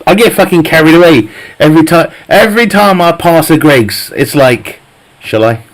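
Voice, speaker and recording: A man's voice: a little high, expressive, normal speed, poor audio. A man chatting casually with his live stream viewers, swearing a lot and laughing often at his own jokes. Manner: profane, jokey banter, laughs often. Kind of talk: casual chat with viewers while gaming.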